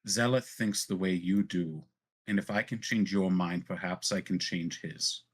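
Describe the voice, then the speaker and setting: Man voicing a slow, low-pitched frail elven noblewoman. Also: slightly rough. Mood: earnest, reassuring. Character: frail elven noblewoman, earnest, quietly indignant and tender. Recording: music behind the voice.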